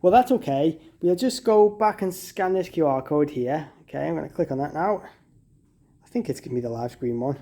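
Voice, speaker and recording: A man's voice: a little high, expressive, fairly quick, dark tone. A man giving a casual, step-by-step product walkthrough as a demonstrator, in an informal, conversational way.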